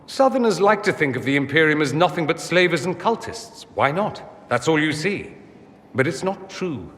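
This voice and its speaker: Casting: witty, self-admiring game mage, theatrical and highly expressive, in a reverberant hall. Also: male voice, moderate pace. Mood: wry, self-amused. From Tevinter, a fantasy empire. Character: suave, vain, witty gentleman mage from a decadent empire. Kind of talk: dramatic video game acting.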